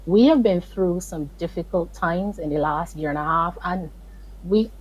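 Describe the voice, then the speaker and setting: Barbadian woman guest, husky, emphatic interview talk. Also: fairly low pitch, normal speed, a little mellow, rough recording. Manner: earnest, emphatic, pleading in places. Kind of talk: video call interview.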